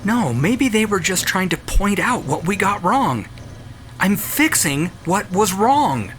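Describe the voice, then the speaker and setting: A man's voice: high-pitched, sing-song, takes it slowly, a bit bright, slight background noise, voice pushed up, full character voice. Man acting an agitated obsessive scientist, swooping voice. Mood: feverish conviction. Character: obsessive, defiant male scientist, feverish and stubborn. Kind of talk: audio drama acting.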